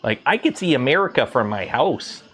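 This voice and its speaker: A man with a light Southern Ontario Canadian accent chats loosely on a livestream, wandering off-topic with lots of fillers and restarts. His voice sits in an ordinary middle pitch. Soft music plays faintly behind him. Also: very animated, normal speed. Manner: rambling, chatty, many fillers and restarts. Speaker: 3D printing livestreamer. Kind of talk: casual livestream chat.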